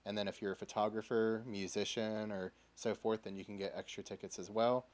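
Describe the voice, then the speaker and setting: Man, deep voice, informal podcast guest, casual chat full of "like". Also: flat delivery, a little fast. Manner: informal, peppers talk with "like".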